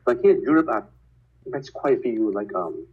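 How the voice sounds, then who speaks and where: A man whose first language is Cantonese, a physicist and educator, speaking in a measured, explanatory way with frequent filler words during a casual conversation over a call. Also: mid-range voice, sing-song, slightly rough, phone-quality sound.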